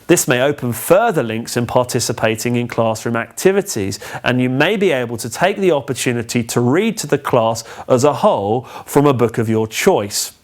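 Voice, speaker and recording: A man gives scripted instructional narration in a measured, clearly phrased, instructive manner. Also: mid-range voice, a little mellow. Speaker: training film presenter.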